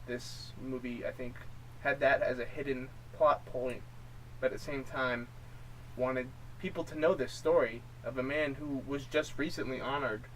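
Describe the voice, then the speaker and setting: A man's voice: mid-range voice, steady tone, normal speed, a bit bright. Man reviewing films, off the mic. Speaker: co-host of a film review show. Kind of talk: casual film review chat. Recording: distant mic, faint room noise.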